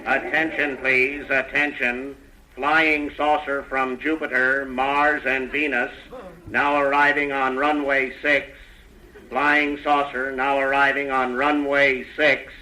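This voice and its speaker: An actor playing a deadpan, measured male airport announcer in an old radio comedy. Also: medium pitch. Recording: noisy old radio broadcast recording.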